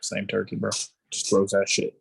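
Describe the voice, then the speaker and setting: Dude on a podcast, blunt, calls everything trash, bro talk. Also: male voice, on the low side, completely flat delivery, moderate pace, creaky, slightly rough. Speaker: podcast co-host. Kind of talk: casual banter.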